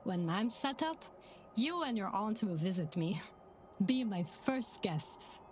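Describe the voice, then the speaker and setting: An actress playing a grateful, warmly insistent woman in a mystery game, speaking in an unhurried way. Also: medium pitch, very animated, a little hiss or hum.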